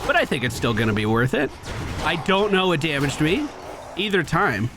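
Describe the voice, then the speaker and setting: A man's voice: a little high, sing-song, normal speed, over music. A man streaming a video game with casual, chatty commentary, explaining things with playful slang.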